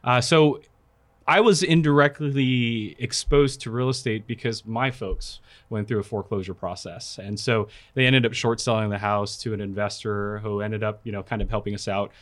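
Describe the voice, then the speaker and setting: A man's voice: fairly low pitch, fairly even intonation, moderate pace, a bit bright. Friendly, chatty male podcast guest. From Philippines, raised in California. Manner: friendly, talkative, frequent uh fillers. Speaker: guest, product manager. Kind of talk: casual podcast interview.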